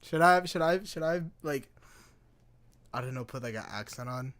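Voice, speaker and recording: A man's voice: medium pitch, lively intonation, moderate pace, a bit bright. A man streaming, chatting casually and animatedly as he reacts to videos, swearing and laughing along.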